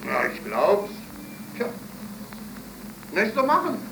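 Echoey, very animated old blacksmith. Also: male voice, high-pitched, background noise, full character voice. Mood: amused, dismissive. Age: 60s. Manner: colloquial, drawn-out. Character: gruff, amused sixty-year-old factory blacksmith. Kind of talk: 1930s German radio play acting. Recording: echoey 1930s radio recording.

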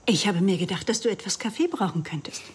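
An actress playing a self-assured, critical middle-aged woman in a radio drama, controlled and superior, with a breathy, slightly husky voice. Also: mid-range voice, very animated, fast talker, background noise, natural voice. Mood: probing.

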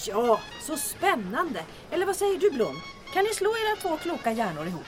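An actress playing a brisk, friendly, slightly bossy small-town barmaid in a comic radio drama. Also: female voice, sits high, sing-song, over music.

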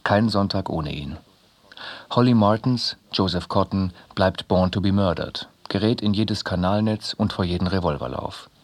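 A man reads literary prose for a radio play. His voice is very low and husky, with a rough, unpolished grain to it. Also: moderate pace. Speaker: reader in a radio drama.

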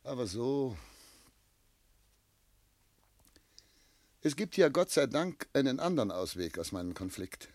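Tormented elderly gentleman, dry close sound. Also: medium pitch, big pitch swings, moderate pace, no echo. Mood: resigned, tender. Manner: solemn, literary, declamatory phrasing. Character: tormented, self-doubting seventy-year-old gentleman in love. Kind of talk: German classic radio play acting.

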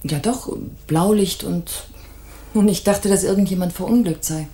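A woman's voice: lowish voice, normal speed, warm tone, echoey room, natural voice. An actress playing a haughty, guarded married woman in trouble in a crime radio play, clipped and imperious. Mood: uneasy. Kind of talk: hardboiled crime radio drama.

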